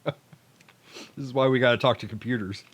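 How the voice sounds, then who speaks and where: Guy co-hosting a podcast, medium pitch, joking dry remarks, super dry close sound. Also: fairly even intonation, fairly quick, husky, dry recording. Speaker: podcast co-host and producer. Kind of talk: casual chat about a script read-through.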